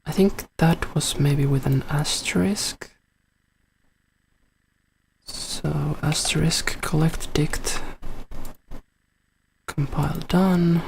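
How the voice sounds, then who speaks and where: A man's voice: fairly high pitch, fairly even intonation, very slow, vocal fry, pauses often, slight background noise, low-quality recording. A man from Finland with a Finland-Swedish accent, whose first language is Finnish, casually thinking aloud while coding and answering a chat question, with many fillers. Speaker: live coder answering chat.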